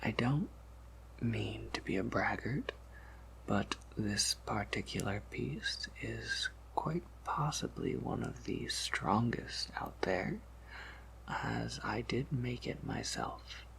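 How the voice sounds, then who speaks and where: A man performs a patient, knowing vampire shopkeeper for an ASMR roleplay. He speaks slowly and softly, mostly in a whisper that drops into heavy creak. His explanations are measured and slightly wry. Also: fairly low pitch, heavily acted, low-quality recording. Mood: quiet, confiding. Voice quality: breathy whisper with creaky undertone. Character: soft-spoken male vampire apothecary shopkeeper, patient and knowing.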